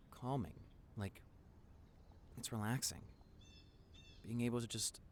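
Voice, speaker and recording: A man's voice: mid-range voice, close to an everyday voice. Man acting as nerdy young boyfriend over background noise. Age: young adult. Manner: nervous stammering, earnest, rambling. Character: nerdy introverted young boyfriend, wacky, tender, passionate artist. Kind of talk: intimate boyfriend audio roleplay.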